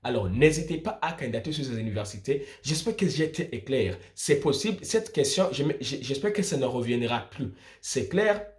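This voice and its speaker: A man's voice: mid-range voice, animated, normal speed, hardly any pauses. A young man from Burundi, explaining things informally to viewers in an emphatic way, repeating and rephrasing his points. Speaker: student vlogger giving study-abroad advice.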